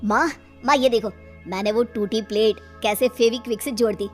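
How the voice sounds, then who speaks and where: Woman doing an eager, high-pitched little boy. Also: steady tone, moderate pace, faint music behind, natural voice. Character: excitable small boy, Radha's son.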